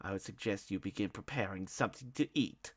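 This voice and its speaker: A man's voice: fairly low pitch, brisk. A man voicing a pompous, fussy grown man who speaks in haughty, overly formal sentences.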